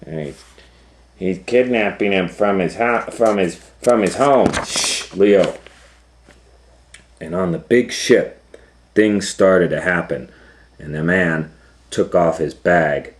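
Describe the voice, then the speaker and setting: A man's voice: low-pitched, takes it slowly, long pauses. A man hosting storytime, telling a children's story informally with hesitant, conversational asides. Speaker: storytime host and reader.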